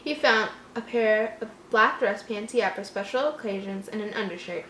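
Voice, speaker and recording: A woman's voice: mid-range voice, moderate pace, a bit bright. A woman reading a story aloud casually, with giggly, mocking asides of her own. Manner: giggly, breaks off to mock the text. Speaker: host reading an online horror story aloud. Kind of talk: casual read-aloud with joking commentary. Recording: roomy echo, faint background noise.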